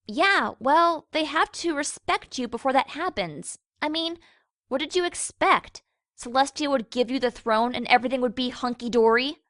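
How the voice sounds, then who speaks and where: Woman voicing a high-voiced wry cartoon pony. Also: moderate pace, a bit bright, no echo, studio quality. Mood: exasperated. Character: sensible, wry young female pony, gently scolding. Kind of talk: cartoon fan fiction voice acting.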